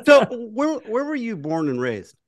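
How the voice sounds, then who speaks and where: Relaxed male host, dry sound. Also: sits high, a little slow, dry recording. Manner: relaxed, affirming, conversational questions. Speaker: podcast host. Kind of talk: casual podcast interview.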